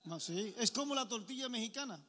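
Bright-voiced Puerto Rican preacher joking with the crowd. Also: male voice, very high-pitched, lively intonation, brisk, in a large hall. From Puerto Rico. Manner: joking, chatty, asks the crowd questions. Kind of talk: informal talk to a men's meeting.

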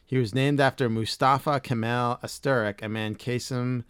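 A man's voice: medium pitch, steady tone, normal speed, fairly bright tone, smooth, no room sound, studio quality. A middle-aged man hosting a casual podcast chat, reading facts aloud and swearing casually. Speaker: podcast host.

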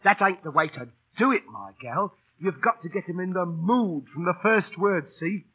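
An actor in an old radio drama playing a middle-aged, down-at-heel busker, proud yet beaten and pleading, with colloquial working-class speech and theatrical delivery. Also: male voice, sits high, animated, moderate pace, slightly rough. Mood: declamatory. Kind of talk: 1930s-40s radio drama acting. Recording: old radio broadcast, phone-like narrow band.

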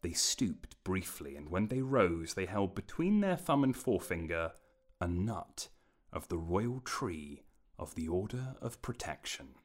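A man narrates a fantasy tale in a scripted, storybook style. His voice sits very low, yet it moves through a lively range of intonation. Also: measured pace.

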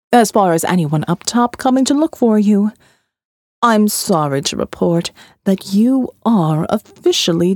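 A woman voicing a smooth, elegant male captor who calmly lectures his prisoner in an audiobook. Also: lowish voice, no echo, clean studio sound, pitched below the performer's own voice, full character voice. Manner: calm, smooth and menacing, lecturing. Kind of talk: audiobook character voice.

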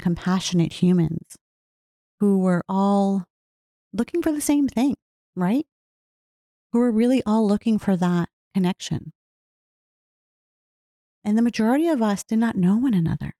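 Woman, earnest, heartfelt, mellow tone, dry close sound. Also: medium pitch, dry recording. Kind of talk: reflective personal talk.

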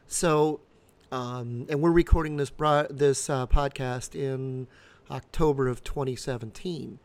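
A man in his fifties co-hosting a podcast, explaining things casually in a measured, halting way. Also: medium pitch, steady tone. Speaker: podcast co-host.

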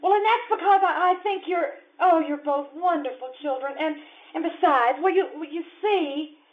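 A woman playing a gentle, teasing wife and mother in a radio comedy performed live on stage. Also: extremely high pitch, moderate pace. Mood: tender. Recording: dull lo-fi live stage recording.

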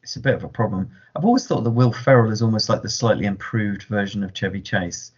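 A man's voice: lowish voice, fast talker, husky. A man co-hosting a podcast in casual chat, joking and chuckling along. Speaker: podcast co-host. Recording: dull lo-fi podcast audio.

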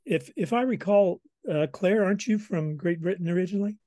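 Man, American accent, fairly high voice, conversational interviewer, dry close sound. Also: fairly quick, mellow, no room sound. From Southern Illinois, United States. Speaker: interviewer, host.

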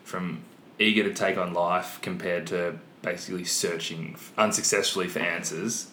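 A man's voice: steady tone, normal speed, bright, forceful tone. A man on a film discussion panel, talking through his thoughts in a casual, reflective way. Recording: echoey room, noticeable hiss and rumble.

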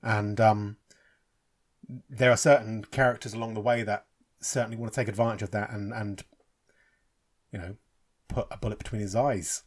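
A man's voice: on the low side, fairly even intonation, moderate pace, long pauses. A man from England giving a casual, rambling film review as a podcast host, with lots of fillers and restarts.

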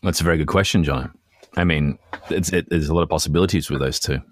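Very deep-voiced man, casual podcaster. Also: fast, no echo, clean studio sound. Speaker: podcast co-host and sketch creator. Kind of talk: casual chat.

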